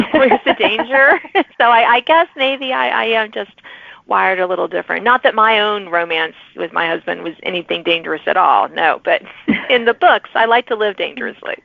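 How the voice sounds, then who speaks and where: A woman's mid-pitched voice comes through a narrow, radio-like channel with no room sound. She hosts a podcast interview in an effusive, conversational style. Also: normal speed, gravelly, dry recording. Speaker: podcast host and author. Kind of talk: casual interview chat.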